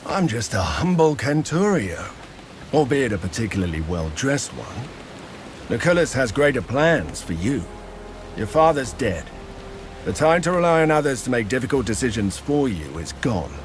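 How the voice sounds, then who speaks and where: A man's voice: mid-range voice, very animated, moderate pace, a little hiss or hum, lightly acted. A man playing a seasoned, wry Roman centurion and mentor in a video game, bluff and commanding. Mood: wry, prodding. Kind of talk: scripted video game acting.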